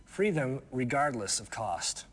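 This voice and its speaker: An actor playing an arrogant, menacing cult lieutenant who briefs a recruit in a video game, condescending and deliberate. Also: male voice, medium pitch, big pitch swings, slightly rough. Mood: scheming. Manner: theatrical, condescending, deliberate pauses. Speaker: military commander giving missions.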